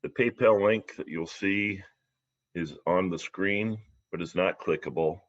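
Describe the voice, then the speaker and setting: A man with a low-pitched voice leads a church service, reading and announcing to people watching online. The livestream sound is slightly dull and lo-fi. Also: unhurried.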